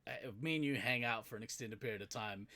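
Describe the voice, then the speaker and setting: A man's voice: fairly low pitch, fast, a crowd in the background. A young man with a New Zealand accent co-hosting a podcast, playfully explaining slang and laughing often. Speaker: podcast co-host. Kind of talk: casual chat.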